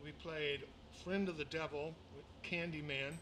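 Rambling older musician between songs. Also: male voice, on the high side, unhurried, slight background noise, low-quality recording. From Mississippi, raised in Louisiana. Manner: rambling, relaxed, full of fillers and restarts. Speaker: musician performing a livestream. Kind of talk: casual between-song chat. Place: small music venue, indoors. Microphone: vocal mic on a stand.

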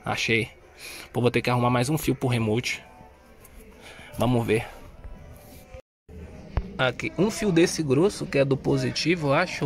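A man with a Brazilian accent, chatting slangily and thinking aloud as he installs a car sound system, filmed on a phone inside the car. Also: fairly high pitch. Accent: Brazilian Portuguese. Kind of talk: casual hands-on DIY narration. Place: inside a car, working on its wiring.

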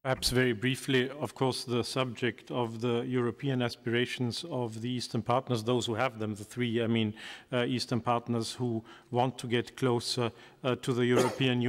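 A man's voice: mid-range voice, flat delivery. Older man answering in a reverberant hall. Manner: measured, careful, with frequent pauses and fillers. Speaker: conference panelist. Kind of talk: formal panel answer. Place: large conference hall, seated at a desk. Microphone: desk microphone.

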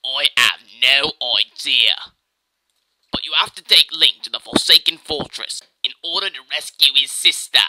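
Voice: mid-range voice, moderate pace, bright, forceful tone, rough recording. An amateur performer voicing a pompous, blunt male bird-man postman, declaiming matter-of-factly in a video game parody.

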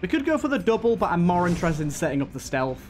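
A man's voice: sits high, big pitch swings, fast talker, a little mellow, a little hiss or hum. A man giving casual gameplay commentary, thinking aloud and talking to the game's characters. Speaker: gaming video host.

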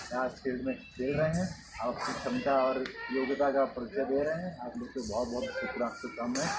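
Man addressing players in heavy outdoor noise. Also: mid-range voice. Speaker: visiting football coach. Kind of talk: informal encouraging speech to young players. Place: outdoor school sports ground. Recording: very noisy outdoor phone video.

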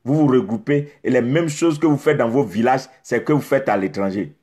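Husky-voiced man preaching to the camera. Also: medium pitch, normal speed, a little mellow. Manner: exhorting, rhetorical questions, emphatic repetition. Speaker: pastor. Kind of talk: preaching sermon. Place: indoors in front of a beach backdrop.

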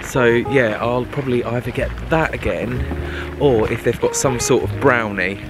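A man's voice: medium pitch, normal speed. Chatty young Englishman vlogging, music behind. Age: young adult. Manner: enthusiastic, chatty. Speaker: travel vlogger. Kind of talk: casual vlog chat to camera. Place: outdoors in castle grounds and parkland, some inside a shop. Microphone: camera held at arm's length.

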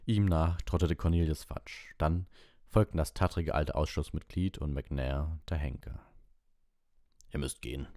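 A man's voice: a bass voice, steady tone, a little slow, mellow, no room sound, clean studio sound. A man reading a story aloud as scripted audiobook narration. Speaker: audiobook reader.

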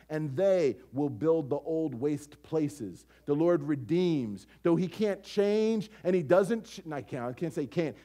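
A man's voice: high voice, darkish tone. An American man preaching a sermon as a pastor, emphatic, leaning on repetition and rhetorical questions. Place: church stage at a lectern, indoors.

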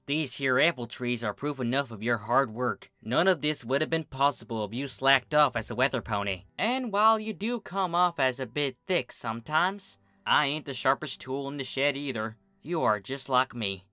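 A man's voice: medium pitch, moderate pace, hardly any pauses, sharp tone, no room sound, close to an everyday voice. A man voicing a humble, kindly female farm pony. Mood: fond, wry. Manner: folksy, soothing, plain-spoken.

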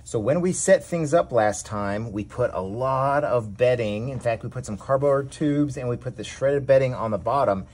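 Male host, husky voice, easygoing how-to delivery on an outdoor porch. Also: medium pitch. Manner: relaxed, explains each step as he works. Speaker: worm farming channel host. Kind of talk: casual how-to demonstration. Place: outdoor covered back porch.

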